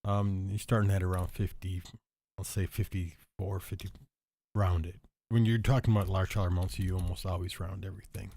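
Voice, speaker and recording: A man hosting a casual solo talk, hoarse and stuffy after an illness, rambling and thinking aloud with lots of fillers and restarts. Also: deep voice, flat delivery, brisk, dark tone. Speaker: show host.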